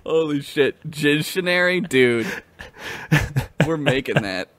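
A man's voice: fairly high pitch, lively intonation, takes it slowly, a bit bright, no echo. A man co-hosting a comedy podcast in casual, goofy chat, often half-singing as he jokes. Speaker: podcast co-host.

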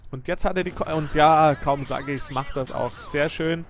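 Young man, game commentary, lively play-by-play, slightly high voice, faint background hiss. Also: normal speed. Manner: lively, excited play-by-play with frequent praise. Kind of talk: let's play commentary over a viewer's replay.

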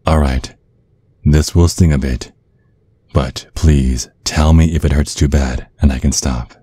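A man playing a tender, protective vampire boyfriend in an ASMR roleplay, speaking in a soothing, coaxing, affectionate way with a husky voice. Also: extremely low pitch, steady tone, a little slow, dark tone, dry recording, heavily acted. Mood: hesitant, coaxing. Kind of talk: ASMR roleplay, intimate soft talk.

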